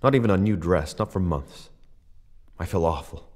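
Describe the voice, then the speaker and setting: An actor playing an earnest, excitable young small-town American man, stammering and folksy, in a radio play. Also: sits low, normal speed. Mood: rueful. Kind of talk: old-fashioned radio play acting.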